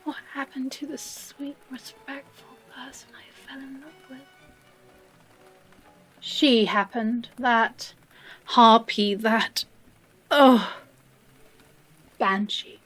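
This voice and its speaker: A woman playing an obsessive, possessive librarian captor in a dramatic audio roleplay, breathy and often whispering, with theatrical pauses and a tenderly menacing manner. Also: fairly high pitch, a bit bright, faint music behind, full character voice, low-quality recording. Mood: wounded, bitter.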